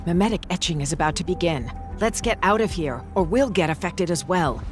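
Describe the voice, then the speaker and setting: Woman acting a low-voiced, stern scientist. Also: lively intonation, brisk, soft background music, natural voice. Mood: cold, clinical. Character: cold, stern, zealous female research scientist. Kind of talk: scripted video game voice acting.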